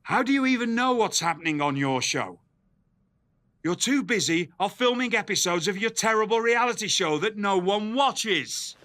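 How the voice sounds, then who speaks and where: High, bright-voiced indignant British manager. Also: male voice, lively intonation, normal speed. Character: indignant, proud British male wrestling show manager. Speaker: wrestling show general manager. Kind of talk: scripted wrestling game cutscene.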